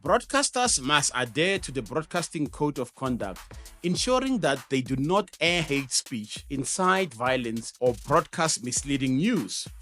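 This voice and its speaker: Animated South African male presenter, music behind. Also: mid-range voice, measured pace. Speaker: host of an educational show on radio broadcasting. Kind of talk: scripted explainer narration with conversational asides.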